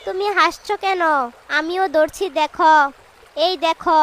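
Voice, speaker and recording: A woman's voice: extremely high pitch, steady tone, measured pace. A woman voicing an eager, teasingly boastful village wife competing with her co-wife in a cartoon fairy tale. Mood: competitive. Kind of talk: animated fairy tale voice acting. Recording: clearly noisy track with birdsong.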